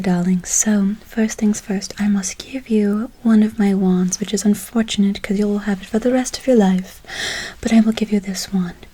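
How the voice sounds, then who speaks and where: A woman playing a gentle, doting witch in a whispered ASMR role play, slow and soothing with a breathy voice, repeating words softly. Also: mid-range voice, lightly acted. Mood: soothing, enchanting. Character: gentle doting forest witch casting a spell. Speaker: ASMR performer.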